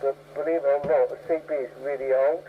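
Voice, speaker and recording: A man's voice: mid-range voice, fairly even intonation, quick pace. A man chatting casually over CB radio, talkative and given to repeating phrases for emphasis. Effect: CB radio transmission. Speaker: CB radio operator. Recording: tinny narrowband CB radio, clear static noise.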